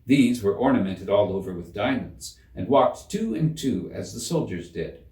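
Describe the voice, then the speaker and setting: A man giving a measured, theatrical reading of a children's story in an echoing room. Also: fairly low pitch, expressive, a little mellow, distant mic. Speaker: audiobook narrator. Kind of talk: expressive scripted storybook narration. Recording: roomy echo, slightly off the mic.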